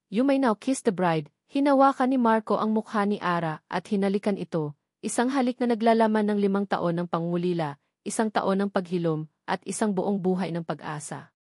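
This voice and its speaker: A woman with a Filipino accent narrating a scripted dramatic story in a sentimental, measured way. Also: mid-range voice, a little mellow, no echo, studio quality.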